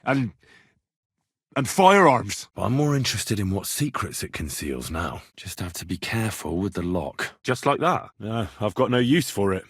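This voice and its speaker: A man playing a young, earnest, plucky medieval blacksmith turned adventurer in a video game, with a plain-spoken, expressive delivery. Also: fairly low pitch, normal speed, breathy edge, no room sound, clean studio sound, exaggerated voice. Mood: questioning, determined. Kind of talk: video game voice acting, dramatic dialogue lines.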